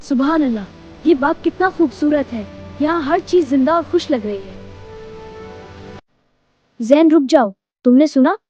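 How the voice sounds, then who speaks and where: A woman's voice: sits high, animated, unhurried, pauses often, dark tone, lo-fi sound. A woman voicing a young boy adventurer in a children's cartoon, earnest, exclamatory and easily frightened. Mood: earnest wonder. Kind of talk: children's moral cartoon story acting. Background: music.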